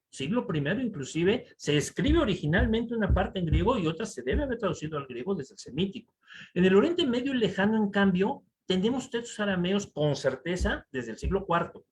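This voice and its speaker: A man with a Mexican accent giving a conference lecture over slides, animated and full of rhetorical asides to the audience. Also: high-pitched, a little hiss or hum. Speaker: conference speaker. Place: conference hall.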